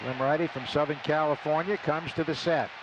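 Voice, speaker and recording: A man's voice: fairly even intonation, fairly quick, noisy background. An older American man doing conversational live radio baseball play-by-play from a stadium booth. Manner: conversational, storytelling between pitches. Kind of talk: live radio sports commentary.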